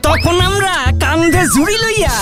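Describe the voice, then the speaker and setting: A woman's voice: very high voice, lively intonation, moderate pace, over loud music. A woman voicing a cheeky, teasing cartoon sidekick with an East Bengali accent and a playfully mocking manner. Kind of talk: comic cartoon voice acting.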